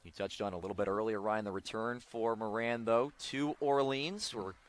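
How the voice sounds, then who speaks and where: An American man doing live play-by-play baseball commentary on the radio, with excited calls on big plays. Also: fairly low pitch, moderate pace, a little hiss or hum.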